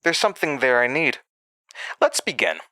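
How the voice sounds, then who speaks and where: Man playing a taunting, animated sinister entity. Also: medium pitch, moderate pace, heavy background noise, lightly acted. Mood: menacing. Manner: mocking, sardonic, theatrical, toying menace. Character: taunting malevolent male entity borrowing a young man's voice. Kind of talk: horror audio drama acting.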